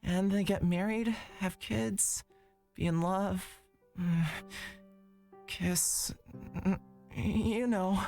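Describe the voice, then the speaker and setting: A woman voicing a gentle, bashful, romantic young man who explains things hesitantly and earnestly in a visual novel. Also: mid-range voice, fairly even intonation, extremely slow, pauses often, faint music behind. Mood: shy, earnest. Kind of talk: visual novel character read aloud.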